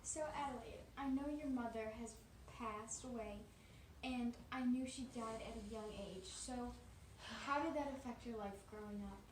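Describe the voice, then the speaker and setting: A woman's voice: on the high side, measured pace. A woman interviewer asking questions of a guest in a staged interview. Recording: roomy, distant mic, faint noise.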